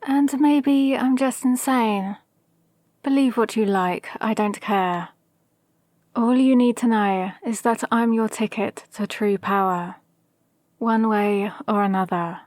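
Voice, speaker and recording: A woman acts out a villainous sorceress training an apprentice in an ASMR roleplay. She sounds close and dry, with a fairly high voice and slow, deliberate delivery. Her manner is calm, condescending and gently controlling, with a hint of madness. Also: no room sound. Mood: smug, coolly commanding. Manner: gently dominant, condescending, measured. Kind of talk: ASMR roleplay with whispered asides. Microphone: close, dry microphone.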